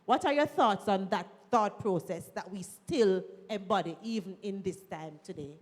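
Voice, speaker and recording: A woman's voice: mid-range voice, moderate pace, darkish tone. A woman moderating a live panel discussion in a chatty, playful, teasing manner. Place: event hall, live audience. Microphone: handheld mic. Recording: long hall reverb at live event.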